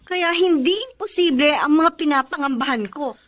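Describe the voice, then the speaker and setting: A woman's voice: sits high, normal speed, fairly bright tone. An actress playing a worried, suspicious young girlfriend in a radio drama. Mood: anxious, probing. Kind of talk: Filipino radio drama acting.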